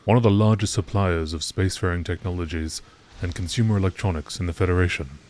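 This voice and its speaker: Deep-voiced man narrating, documentary style. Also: a little mellow. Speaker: narrator reading game lore.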